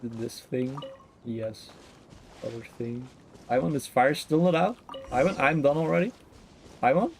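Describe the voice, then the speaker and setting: A man's voice: medium pitch, a little slow, gravelly, pauses often, a little hiss or hum. A man giving casual, playful live commentary as he streams a game, joking as he goes. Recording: faint game music behind.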